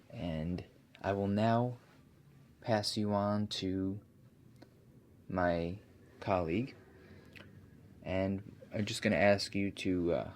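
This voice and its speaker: A man playing a doctor in an ASMR roleplay, asking questions in a soft-spoken, unhurried, hesitant and reassuring way. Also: lowish voice. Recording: steady audible background noise.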